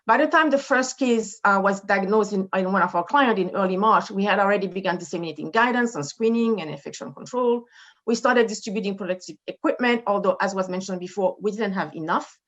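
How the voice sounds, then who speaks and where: A woman with a French Haitian accent delivers a formal presentation as a medical director, measured and steady, walking through figures with the odd filler. Her voice sits at a middle pitch. The video call audio is a little dull. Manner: measured, formal, reading data with occasional fillers. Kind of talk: conference presentation. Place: in front of a brick wall indoors.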